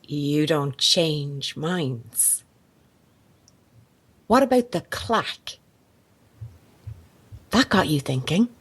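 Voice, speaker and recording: A woman's voice: sits low, expressive, drawn-out words, pauses often, breathy edge, full character voice. Sardonic, defiant female reporter. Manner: sarcastic, confrontational, sweary. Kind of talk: audio drama dialogue.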